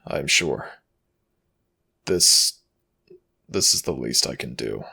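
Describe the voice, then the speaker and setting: Ancient egyptian god for asmr, super slow and raspy, protective, formal, murmured right to you. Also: male voice, rumbling low, expressive, heavily acted. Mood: soothing, solemn. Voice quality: gravelly, breathy rasp. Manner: slow, hushed, formal archaic phrasing. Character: ancient Egyptian death god, tender protector, quietly vengeful. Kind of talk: ASMR audio roleplay, intimate murmured acting.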